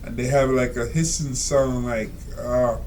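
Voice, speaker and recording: A man reading out and commenting on a reader's letter, talking slowly and rambling with many fillers and asides. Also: medium pitch, fairly even intonation, a little mellow, a little hiss or hum. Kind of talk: casual spoken commentary.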